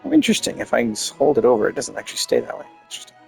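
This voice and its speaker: A man's voice: medium pitch, wildly swooping pitch, very fast, gravelly, darkish tone, faint music behind. A man giving casual commentary as he plays a video game. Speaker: game streamer.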